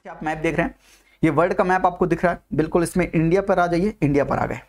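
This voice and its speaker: A man's voice: on the high side, fast, faint music behind. Man teaching, repeating points and quizzing. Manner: repeats points and asks listeners questions; mixes English terms into talk. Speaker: economics teacher. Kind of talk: exam-prep video lecture.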